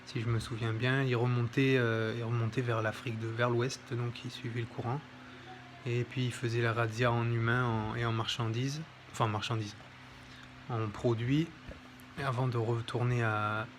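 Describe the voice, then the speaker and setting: A man's voice: medium pitch, flat delivery, normal speed, noisy background. A man presenting a history book in an informal, hesitant commentary, with many fillers and self-corrections. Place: home near an airport.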